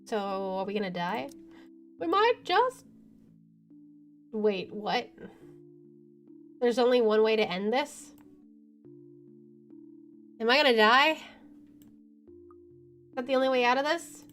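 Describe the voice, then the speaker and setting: A woman streaming a horror visual novel, reading it aloud with animated commentary. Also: on the high side, slow, pauses often. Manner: animated, laughs between lines. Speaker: let's-play streamer. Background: game music.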